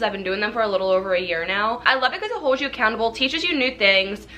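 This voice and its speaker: A woman in her twenties talks with her viewers in a casual vlog, chatty and quick to laugh. Her voice sits fairly high and sounds very bright. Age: 20s. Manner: chatty, animated, laughs often. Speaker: YouTuber.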